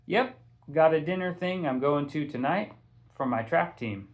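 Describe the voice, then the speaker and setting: A man's voice: fairly high pitch, animated, a little slow, pitched above the performer's own voice. A man voicing an upbeat, proud schoolboy athlete in an audiobook, casual but respectful.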